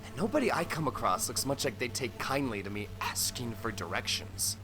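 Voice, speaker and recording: Man acting a rambling, rattled delivery driver, swinging pitch. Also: expressive, fairly quick, background noise, natural voice. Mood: frustrated. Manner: rambling, self-correcting, muttering to himself. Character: rattled, rambling delivery driver disoriented after head injury. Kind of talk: post-apocalyptic audio drama monologue. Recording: radio transmission with music behind.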